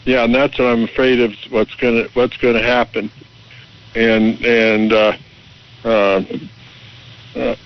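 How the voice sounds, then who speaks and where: A man's voice: mid-range voice, little pitch movement. Stammering American man calling in, muffled sound. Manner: halting, stammering, repeating words. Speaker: radio phone-in caller. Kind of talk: political opinion call. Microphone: telephone. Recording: muffled call over shortwave radio.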